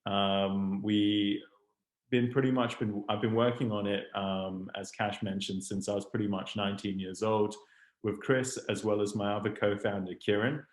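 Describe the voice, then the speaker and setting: A young man in his twenties with a mixed international English accent, telling his story as a webinar guest and often pausing on ums and restarts. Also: on the low side. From Hong Kong. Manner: hesitant, many ums and restarts. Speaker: guest speaker, startup founder. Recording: roomy echo.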